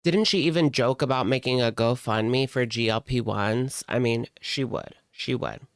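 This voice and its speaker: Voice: mid-range voice, normal speed, no echo, studio quality. A reaction channel host talking casually in a blunt, mocking and sardonic way while commenting on a video.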